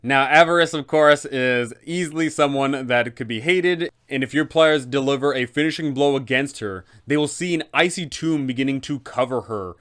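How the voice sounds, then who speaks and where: A man's voice: medium pitch, normal speed, hardly any pauses, fairly bright tone, clean tone. A man talking as an informal game master host, explaining things in a conversational, instructional way.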